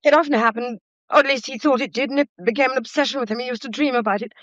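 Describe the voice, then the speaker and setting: An actress in a radio drama playing an overwrought, defensive young wife who insists in a breathless rush. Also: female voice, high voice, very fast, fairly bright tone, no room sound. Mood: agitated, insistent. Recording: slightly dull, dry studio sound.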